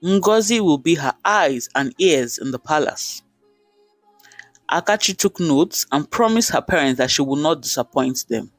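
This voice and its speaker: A man's voice: on the high side, animated, unhurried, fairly bright tone, no room sound, soft background music. A man with a Nigerian accent narrating a folktale in short, dramatic sentences. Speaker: storyteller.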